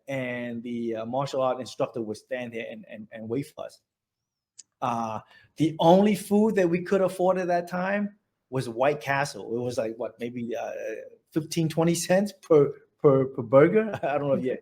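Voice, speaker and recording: A man's voice: mid-range voice, a little mellow. Vietnamese-accented man telling his story. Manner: rambling storytelling with many restarts and fillers. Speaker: interviewee. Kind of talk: oral history interview.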